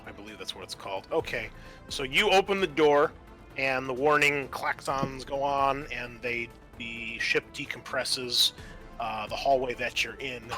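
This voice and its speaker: A man acting as game master, narrating scenes and explaining rules in a conversational way over background music. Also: medium pitch. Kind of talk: narrating and ruling a tabletop roleplaying game.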